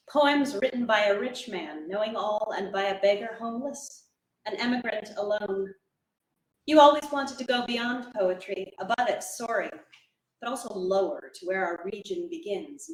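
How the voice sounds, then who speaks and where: A woman reads verse aloud at a live event. Her voice sits fairly high, and the room adds a clear echo around it. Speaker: poetry reader. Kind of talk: poetry reading aloud. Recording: echoey room.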